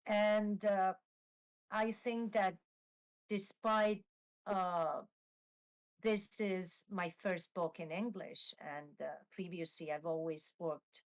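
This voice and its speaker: A woman's voice: mid-range voice, fairly even intonation, slow, rough recording. A woman author with an Italian accent, a native Italian speaker talking in English, hesitant with many fillers and restarts, speaking over a video call. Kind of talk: live online Q&A.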